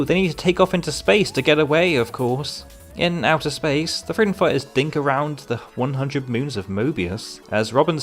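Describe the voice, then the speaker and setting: A man delivering a scripted review narration as a reviewer, with sardonic, wry asides. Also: medium pitch. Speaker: comic book reviewer.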